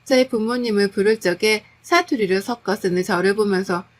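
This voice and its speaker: A woman's voice: medium pitch, moderate pace. A woman reading a scripted first-person story as its narrator.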